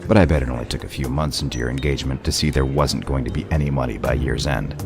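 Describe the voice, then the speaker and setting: Man playing a very deep, gravelly sardonic detective. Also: steady tone, fast. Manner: dry, sardonic. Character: gruff, sardonic male detective laying out a case. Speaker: voice actor in an audio drama. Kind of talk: audio play acting, detective explaining a case. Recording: music bed under the voice.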